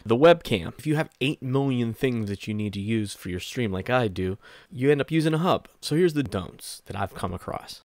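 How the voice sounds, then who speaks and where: A man's voice: medium pitch, darkish tone, dry recording. A man in his thirties giving a casual tech tip to camera as a streamer, playful and self-deprecating, close on a desk microphone. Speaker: streamer and video host.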